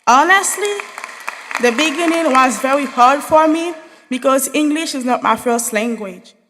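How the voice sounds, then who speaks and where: A woman's voice: sits high, expressive, measured pace, background noise. A young woman from Haiti, giving an earnest, spirited graduation speech as a student speaker in a large hall. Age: young adult.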